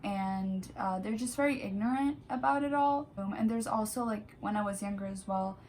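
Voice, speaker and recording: A woman's voice: mid-range voice, normal speed, a little mellow, slight background noise. Hesitant woman from Brazil. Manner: hesitant, searching for words. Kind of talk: personal account.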